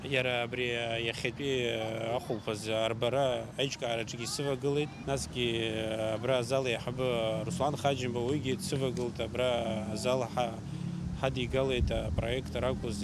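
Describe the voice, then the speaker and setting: A man gives interview answers in short, thoughtful, halting phrases. His voice sits in a middle range. He is recorded outdoors in a town, with clear traffic noise around him. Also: mid-range voice, fairly even intonation. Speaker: animation director interviewed. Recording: street noise behind.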